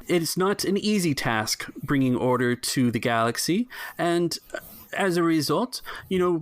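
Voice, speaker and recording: A man's voice: fairly high pitch, dry recording, voice pushed up. A man voicing a pompous, self-important imperial governor in an oratorical manner for a tabletop game. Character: pompous imperial governor giving a grandiose auction speech.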